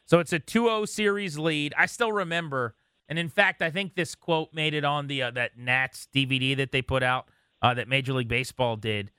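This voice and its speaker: American male sports talk host, bright and forward, slightly higher voice, dry studio sound. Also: a little fast, clean tone, no room sound. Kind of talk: casual sports chat.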